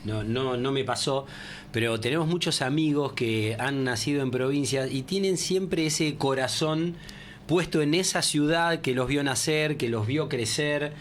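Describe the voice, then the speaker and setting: Argentine guy on the radio, thinking out loud, mid voice, slight room hum. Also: medium pitch, a little slow. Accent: Argentine Spanish. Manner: reflective, thoughtful musing. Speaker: radio host. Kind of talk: casual radio talk.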